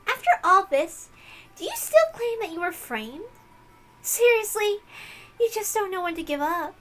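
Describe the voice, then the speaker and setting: A woman's voice: extremely high pitch, big pitch swings, measured pace, bright, forceful tone, faint music behind, pitched above the performer's own voice, exaggerated voice. A woman voicing a scornful, mocking, impatient accuser in a dramatic visual novel murder mystery read-aloud.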